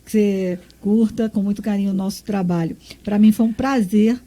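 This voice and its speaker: A woman from Brazil with a mellow, dark-toned voice, hosting a radio interview. She is effusive, thanking and praising her guest. She often checks in with small tag questions. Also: mid-range voice, steady tone, normal speed. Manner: warm, effusive. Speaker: radio host.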